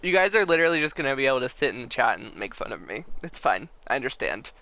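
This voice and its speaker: A man's voice: mid-range voice, big pitch swings, fast talker, sharp tone, no echo, rough recording. A man streaming a game, chatting casually in an informal, wry, self-deprecating way. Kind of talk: casual livestream chat and commentary. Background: game music.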